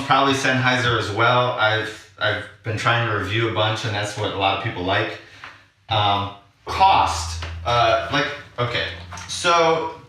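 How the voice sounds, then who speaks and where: A man's voice: mid-range voice, pushed and bright, slight background noise. A man talking casually and unscripted, explaining his equipment as a vlog host. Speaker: audio drama maker presenting his equipment. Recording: very echoey room, distant from mic.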